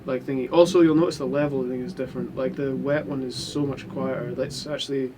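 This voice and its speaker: A man's voice: medium pitch, steady tone, fairly quick, noisy background. A man chatting casually about mixing a recording session, rambling with many restarts and fillers. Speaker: mixing engineer. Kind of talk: casual chat.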